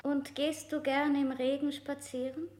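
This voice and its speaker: An actress playing an insistent questioner in a radio play, asking the same thing again and again. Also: female voice, high-pitched, level tone, a little slow, very noisy.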